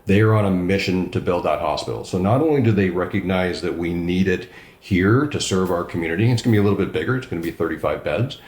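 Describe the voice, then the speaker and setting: A man's voice: low voice, animated, quick pace, roomy sound. A man, a regional councillor, answering interview questions with emphatic enthusiasm. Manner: enthusiastic, emphatic, self-described loud voice.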